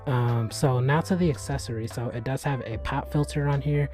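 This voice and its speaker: A man hosting a gadget review channel, talking casually about a microphone in a soft voice with plenty of fillers. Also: medium pitch, normal speed, music in the background. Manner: relaxed, chatty, many fillers. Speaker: tech reviewer, channel host. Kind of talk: casual product review vlog. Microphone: USB desk microphone.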